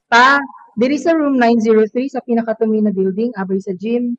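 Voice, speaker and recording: A woman's voice: fairly high pitch, fairly even intonation, fairly quick, very noisy, natural voice. A woman playing a teasing, chatty teenage student in an amateur radio drama, with breezy banter and a Filipino accent. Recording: muffled lo-fi recording.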